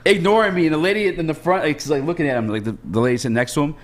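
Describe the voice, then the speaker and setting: A young man in his twenties hosting a sports podcast, telling loose, profane stories in casual chat. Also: on the high side. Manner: profane, fast storytelling, loud when excited. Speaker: podcast host.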